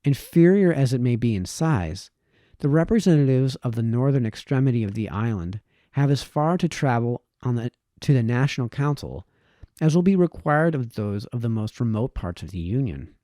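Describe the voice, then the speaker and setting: A man reading a formal historical essay aloud with measured phrasing. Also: medium pitch, animated, mellow, no room sound, clean studio sound. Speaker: reader, host of a reading series.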